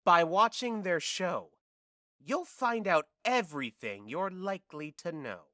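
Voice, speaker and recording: A man's voice: high voice, expressive, measured pace, pushed and bright, no room sound, studio quality, heavily acted. A man playing an earnest amateur actor who recites a prologue in a theatrical, declamatory way for an audio drama. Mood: earnest, grandiose. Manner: overblown, declamatory.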